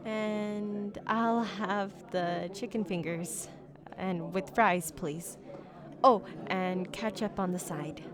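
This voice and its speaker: A woman's voice: mid-range voice, steady tone, slow pace, crowd noise, natural voice. A woman playing a shy, geeky young silver fox on a blind date, speaking politely and hesitantly in an ASMR roleplay. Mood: nervous, awkward. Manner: awkward, flustered, hesitant, politely formal. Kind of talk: ASMR roleplay, one-sided conversational acting.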